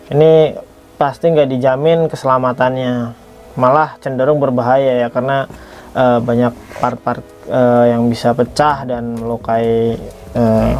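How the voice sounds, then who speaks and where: A man's voice: mid-range voice, warm tone. A man giving a casual, unscripted product review, rambling a little and repeating himself as host. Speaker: toy reviewer, video host.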